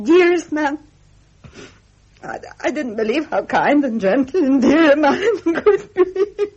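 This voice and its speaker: A British actress playing an anxious, devoted, gentle royal wife in a period radio drama, speaking hesitantly and emotionally. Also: female voice, sits high, heavily acted. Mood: anguished.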